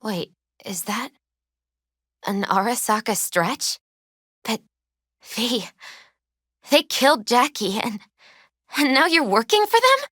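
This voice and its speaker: A woman playing a gentle, spiritual young tarot reader in a video game, soft-spoken, unhurried and often hushed. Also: a little high, big pitch swings, husky, no room sound, clean studio sound, full character voice. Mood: hurt, accusing.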